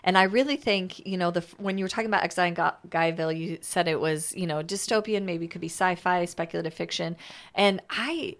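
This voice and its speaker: A woman's voice: fairly low pitch, brisk. A woman interviewing in a casual, friendly chat, with an enthusiastic and encouraging way of talking.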